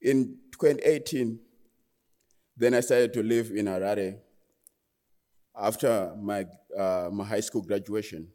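Earnest young Zimbabwean man, mellow voice. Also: on the low side. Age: 20s. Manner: earnest, halting, restarts phrases. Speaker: guest speaker. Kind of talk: personal testimony talk. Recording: long hall reverb.

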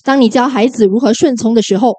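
Quick, fairly high woman interpreter. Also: darkish tone, breathy edge. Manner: quick, with brief restarts while translating. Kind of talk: consecutive sermon interpretation.

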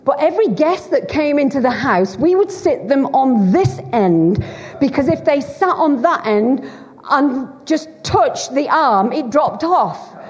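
A woman's voice: sits high, animated, a little slow. A middle-aged woman with a Lancashire accent, preaching a chatty, teasing sermon to a church congregation. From Bolton, Greater Manchester, England. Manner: chatty, teasing, self-deprecating. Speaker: guest preacher. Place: church hall. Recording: dull, long hall reverb.

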